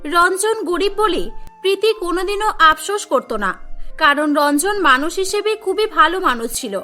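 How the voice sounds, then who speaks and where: Very high, bright-voiced woman storyteller. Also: animated, moderate pace, soft background music. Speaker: cartoon story narrator. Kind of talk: scripted storybook narration for a Bangla cartoon.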